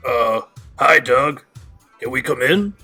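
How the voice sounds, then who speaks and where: An actor playing a breezy, sheepish young party guy in an audio drama, with a rough, gravelly voice. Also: medium pitch, animated, takes it slowly, sharp tone, faint music behind, heavily acted. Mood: surprised, casual.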